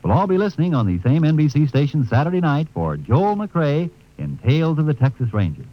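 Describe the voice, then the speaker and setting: A man's voice: on the high side, big pitch swings, brisk. A man doing folksy, salesmanlike commercial patter as a 1950s radio sponsor announcer. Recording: muffled old radio broadcast, faint music.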